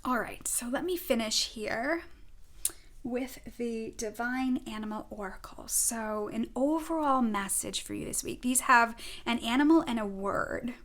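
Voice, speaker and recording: A middle-aged woman with a Rhode Island accent talks viewers through a weekly oracle card reading. Her voice sits a little on the high side, and she sounds earnest and effusive. She sometimes drifts into soft, emphatic phrases. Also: unhurried. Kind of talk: informal spiritual guidance monologue.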